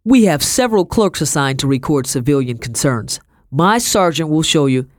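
A woman voicing a weary male colonel of colonial times, stiff and measured, in a storybook reading. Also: sits low, lively intonation, no echo, studio quality. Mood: formal.

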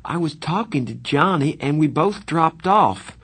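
Man voicing a sensitive teenage boy, higher-pitched. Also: very animated, measured pace, husky, heavily acted. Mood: defensive, pleading. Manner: pleading, stammering protest.

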